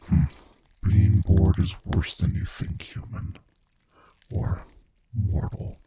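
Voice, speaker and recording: A man playing a gleefully cruel monster from under the bed in an intimate ASMR audio roleplay, taunting and menacing in a breathy, whispery voice. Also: on the low side, mellow, slight background noise. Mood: teasing, condescending. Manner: slow, taunting, menacing, savoring words.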